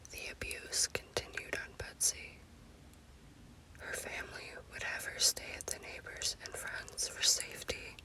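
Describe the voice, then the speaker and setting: Woman whispering a slow ASMR story. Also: rough recording. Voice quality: unvoiced, breathy whisper. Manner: slow, deliberate delivery in short phrases. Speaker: storyteller, host.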